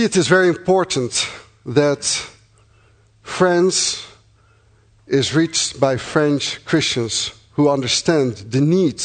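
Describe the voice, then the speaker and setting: Middle-aged man, Dutch accent, missionary addressing supporters, earnest and thankful, slightly raised pitch. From Netherlands. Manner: earnest and thankful, with pauses and fillers. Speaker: missionary church planter.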